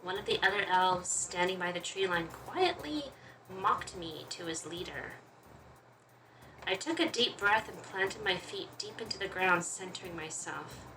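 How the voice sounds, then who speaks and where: A woman's voice: fairly low pitch, pushed and bright. A woman hosting a gaming video, reading visual novel narration aloud and adding casual, playful asides. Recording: slightly distant mic, faint noise.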